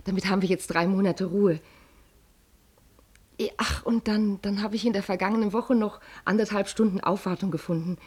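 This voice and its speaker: A woman playing an anxious, devoted working-class mother in a German radio play, speaking tenderly and haltingly. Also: medium pitch, fairly even intonation, a little mellow, breathy edge, close to an everyday voice. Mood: concerned, reassuring. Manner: hesitant, tender, halting speech.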